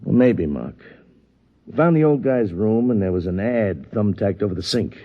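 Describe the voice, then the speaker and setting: A man's voice: on the low side, animated, moderate pace. An actor playing an easygoing, plain-spoken male police sergeant in a vintage radio drama, casual and laconic. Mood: matter-of-fact. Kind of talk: 1950s radio drama acting. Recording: muffled old radio recording.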